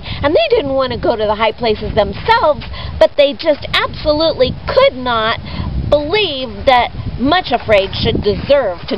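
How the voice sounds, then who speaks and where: A woman telling a children's story expressively, leaning on key words with deliberate, dramatic emphasis. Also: high-pitched. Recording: dull lo-fi audio with clear background noise.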